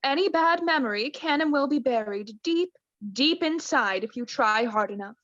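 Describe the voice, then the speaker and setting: A woman's voice: high voice, expressive, fairly bright tone, dry recording, muffled recording. A woman playing a doting New Age wife in a student radio play, affectedly soothing with a snippy edge. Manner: affectedly soothing therapy-speak, drawn-out.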